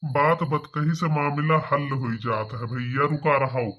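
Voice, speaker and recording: Man as a slow, moralising village mediator. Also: a little high, dull audio. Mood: reproachful, reasoning. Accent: eastern Uttar Pradesh. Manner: admonishing, reasoning. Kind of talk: cartoon comedy acting.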